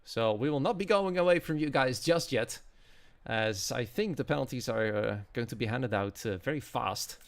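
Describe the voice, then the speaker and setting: A man's voice: mid-range voice, lively intonation, moderate pace, gravelly. A man with a Dutch accent, speaking English as a non-native, giving live commentary as an esports racing commentator. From Netherlands.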